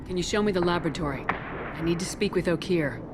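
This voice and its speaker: A woman playing a composed, direct female military commander in a video game, speaking in a measured, matter-of-fact way. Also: fairly low pitch, lively intonation, heavy background noise, close to an everyday voice. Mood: calm, questioning. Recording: music behind the voice.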